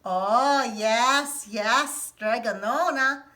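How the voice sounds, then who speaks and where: An eager, scatterbrained young man from a children's storybook, played by a woman reading aloud. His put-on Italian accent stretches every exclamation out loudly, and the voice stays fairly high and bright. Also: heavily acted. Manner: loud, drawn-out exclamations.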